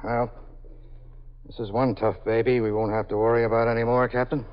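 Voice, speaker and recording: An actor playing a tense, alert police lieutenant in a 1950s radio drama. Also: male voice, medium pitch, level tone, a little fast, smooth, close to an everyday voice. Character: police lieutenant Matt at a stakeout. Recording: noisy lo-fi 1950s radio recording.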